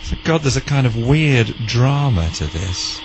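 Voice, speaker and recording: A man's voice: mid-range voice, very animated, measured pace. A man chatting spontaneously on location as a reporter. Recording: noisy radio static behind voice.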